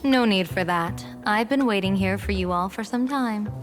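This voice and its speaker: A woman's voice: mid-range voice, moderate pace, over music, natural voice. A woman playing a gracious, knowing general in a video game, playful and self-assured. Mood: knowing, amused. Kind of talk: video game story dialogue.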